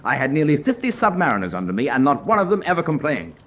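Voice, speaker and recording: An actor playing a proud, hard-bitten German U-boat officer in a radio drama, with clipped, emphatic delivery. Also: male voice, fairly high pitch, big pitch swings, quick pace, fairly bright tone. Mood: bitter, impassioned. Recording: clearly noisy background ambience.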